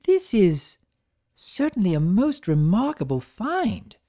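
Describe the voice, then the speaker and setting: A prissy, grandly spoken pony lady, put on by a woman reading a storybook aloud. She lifts her voice well above the narration and swoops through ornate phrases with a lively, musical lilt. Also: medium pitch, slow, voice pushed up, full character voice. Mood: prim, dazzled. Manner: posh, elaborate, dramatic diction. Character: prim theatrical adult mare fashionista.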